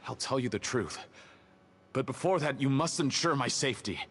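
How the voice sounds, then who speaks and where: A man's voice: mid-range voice, lively intonation, normal speed, a bit bright, husky. A man voicing a nervous, shifty male schemer in a video game, stammering and defensive, with slight hall reverb. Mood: panicky.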